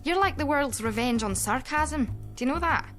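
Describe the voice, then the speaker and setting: A woman's voice: high voice, animated, normal speed, fairly bright tone. A woman voicing a blunt, sarcastic young female student, in a video game cutscene with music under her.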